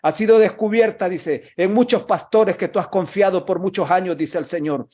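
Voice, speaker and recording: A man with a notably high voice delivers a prophetic sermon in short, declaiming bursts. He breaks his speech into clipped phrases and returns to the same refrain again and again. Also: normal speed, a little mellow. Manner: declaiming, repeating a refrain after every phrase. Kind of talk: prophetic preaching.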